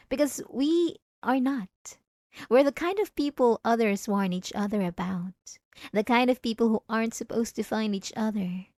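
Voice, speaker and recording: A woman's voice: on the high side, a little mellow, dry recording, clean studio sound. A woman playing a possessive, obsessive wife in an intimate, theatrical ASMR roleplay monologue. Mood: dark, intimate. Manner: intimate, theatrical, drawn-out emphatic phrases. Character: possessive, obsessive yandere wife confronting her spouse.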